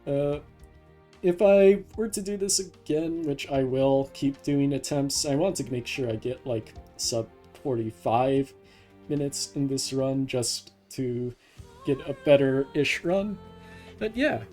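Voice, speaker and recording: Casual male speedrun commentator, mid-pitched and unhurried, talking through each move over soft game music. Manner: explains each step as they play. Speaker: speedrunner, live streamer. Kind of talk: live gameplay commentary, casual.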